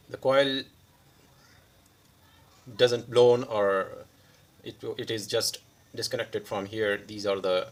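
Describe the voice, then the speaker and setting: A man who is a non-native English speaker gives an unscripted hands-on repair demonstration, pausing mid-sentence in short phrases. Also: lowish voice, fairly bright tone. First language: not English. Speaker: electronics repair tutorial host.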